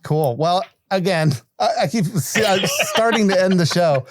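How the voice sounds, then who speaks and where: Man, American accent, fairly high pitch, casual podcast host, chatty, rambling, very little room echo. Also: animated, measured pace, clean studio sound. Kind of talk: casual unscripted podcast sign-off. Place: small fenced-off area beside a living room at home.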